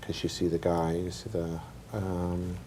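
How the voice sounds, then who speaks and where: A man chatting casually as a podcast panelist, reasoning out loud through guesses in a group guessing game. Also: sits low, monotone, a little slow, slight background noise. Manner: thinking aloud, deliberating.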